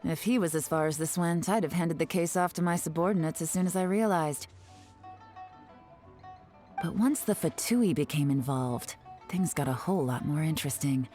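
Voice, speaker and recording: A cool female spy, voiced by a woman, with a lowish, even voice. She is composed and wry, laying out her schemes with quiet confidence. Faint music hums beneath her in this game scene. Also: normal speed, close to an everyday voice. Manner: composed, wry, self-assured. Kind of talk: game cutscene dialogue.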